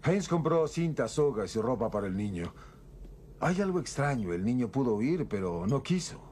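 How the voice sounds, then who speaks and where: A man's voice: mid-range voice, very animated, moderate pace, a little mellow, a little hiss or hum, close to an everyday voice. A man dubbing a film role as a male lawman tracking a fugitive, asking probing questions. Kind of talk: film dub acting.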